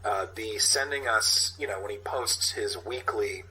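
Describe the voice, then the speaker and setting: A man's voice: lowish voice, measured pace, pushed and bright, slight background noise, low-quality recording. A man co-hosting a comedy podcast, chatting casually and reading a script aloud. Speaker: podcast co-host. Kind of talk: casual comic chat and script read-aloud.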